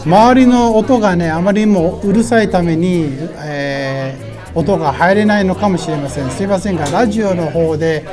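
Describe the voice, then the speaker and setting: A woman's voice: lowish voice, fast talker. A woman with a Japanese accent, a native Japanese speaker, chatting with short interjections as host of a casual live radio interview. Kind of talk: casual live radio interview, switching between two languages. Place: busy market pop-up event. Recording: background music and crowd chatter at live event. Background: music, crowd.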